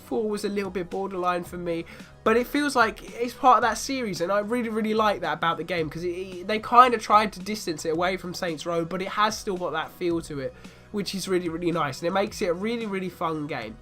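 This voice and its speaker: A man's voice: high-pitched, a little fast, bright, forceful tone, soft background music. A man with a bad cold giving a casual spoken review of a video game, chatty and fond of repeating "really, really".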